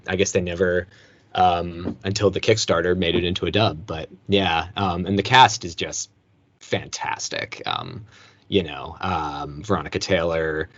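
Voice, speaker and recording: Relaxed man chatting, a bit muffled. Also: sits low. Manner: relaxed, hesitant, many fillers. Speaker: voice actor guest. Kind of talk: casual interview chat. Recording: dull lo-fi sound, dry.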